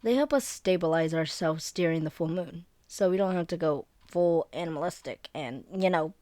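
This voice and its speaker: A woman's voice: lowish voice, moderate pace, dark tone, no room sound, clean studio sound, lightly acted. A woman playing a friendly, flustered young werewolf in an audio roleplay, explaining things in a hesitant, informal, rambling way. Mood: earnest, explaining. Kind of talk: scripted one-sided roleplay audio drama, conversational.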